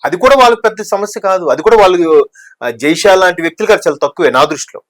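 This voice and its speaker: A man's voice: sits high, expressive, fast. A man giving conversational political commentary, talkative and explanatory, mixing in English words. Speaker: news discussion commentator.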